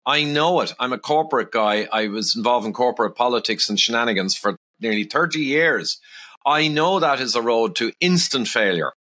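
Calm Irish man with a lively voice. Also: mid-range voice. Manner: calm, measured, reasonable. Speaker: podcast guest. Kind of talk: casual podcast conversation.

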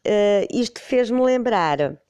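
A woman's voice: mid-range voice, lively intonation, takes it slowly, mellow, clear, resonant tone, dry recording. A middle-aged woman with a European Portuguese accent, congested with a cold, telling a personal story in a rambling, amused way on her podcast. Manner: rambling, self-correcting, amused. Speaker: podcast host.

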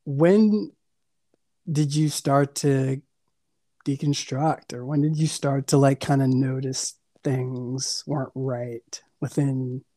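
A man's voice: mid-range voice, fairly even intonation, slow, mellow, no echo, clean studio sound. A man hosting a casual podcast interview, hesitant and restarting his questions often. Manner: hesitant, full of fillers and restarts. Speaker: podcast host.

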